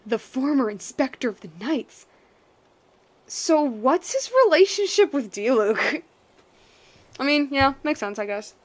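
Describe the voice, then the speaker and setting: Higher-pitched animated woman streamer. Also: a little slow, pauses often. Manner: animated, thinking aloud in broken bursts. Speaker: game streamer. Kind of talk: casual playthrough commentary.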